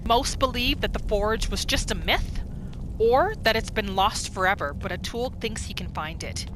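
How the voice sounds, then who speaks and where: A woman hosting a gaming roleplay series, telling the story in short phrases with dramatic pauses. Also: medium pitch, background noise. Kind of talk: storytelling gameplay narration.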